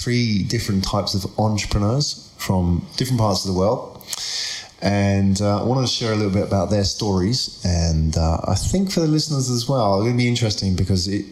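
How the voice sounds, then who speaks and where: Guy hosting a podcast, asks lots of follow-ups, deepish voice, roomy echo. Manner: relaxed, curious, asks follow-up questions. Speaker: podcast host. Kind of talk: conversational interview. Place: around a table in a room.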